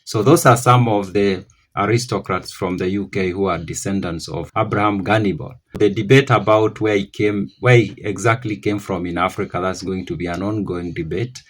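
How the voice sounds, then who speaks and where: A man's voice: low-pitched, normal speed. A man hosting a history channel, talking it through off the cuff, often stopping to correct himself. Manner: rambling, self-correcting, thinking aloud. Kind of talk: unscripted history talk to camera.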